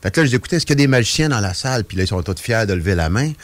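A man's voice: fairly low pitch, racing, no echo. An older man with a Quebec French accent telling anecdotes in a casual, jokey radio chat, often interrupting himself and wandering off. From Trois-Rivières, Quebec. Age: 50s or older. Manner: rambling, jokey, animated storytelling with self-interruptions. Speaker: guest magician. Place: dry radio studio.

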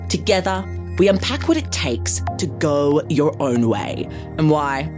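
Upbeat woman podcast host, lively delivery. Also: low voice, measured pace, over music. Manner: upbeat, enthusiastic. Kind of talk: scripted intro then interview chat.

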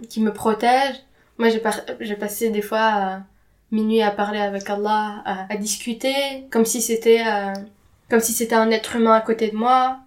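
A young woman whose native language is Arabic, talking candidly and informally about her own life as a podcast guest, with slang and the odd English word. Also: on the high side. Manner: informal slangy talk with occasional English words. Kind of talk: candid personal account in casual conversation.

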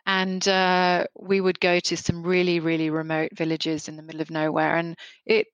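A woman in her forties from Kenya answers interview questions with a somewhat low voice. She speaks reflectively, restarting sentences and repeating words as she thinks. The sound is dry and close. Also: monotone, moderate pace, dry recording, dull audio. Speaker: interview guest, photographer.

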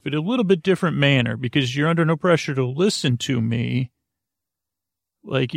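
Rambling, gravelly-voiced man. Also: medium pitch, no echo, clean studio sound. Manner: meandering, stammering, silly. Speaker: sleep podcast host. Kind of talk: rambling bedtime monologue.

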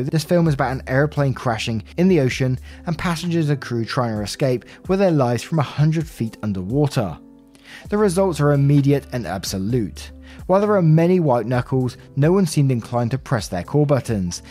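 A man reading a written story aloud as a podcast host, with expressive delivery and brief asides. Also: medium pitch.

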